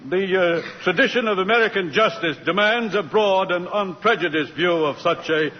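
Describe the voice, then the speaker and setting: A man's voice: high-pitched, natural voice. A man playing a dignified, hesitant judge with a ponderous, formal manner in a 1940s radio drama. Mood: measured. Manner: halting, ponderous. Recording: reverberant, muffled, faintly noisy old radio.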